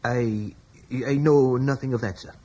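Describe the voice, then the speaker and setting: A man's voice: mid-range voice, steady tone, unhurried, dark tone, dull audio, close to an everyday voice. An actor playing a guarded, deferential chief servant who answers his master briefly and evasively, in a radio drama.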